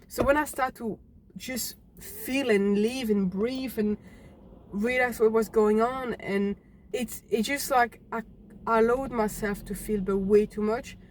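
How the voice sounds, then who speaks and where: A young woman from Belgium, telling her own story unscripted to camera in a halting, earnest way, often restarting words. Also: on the high side, steady tone, a little mellow, a little hiss or hum. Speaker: fitness vlogger.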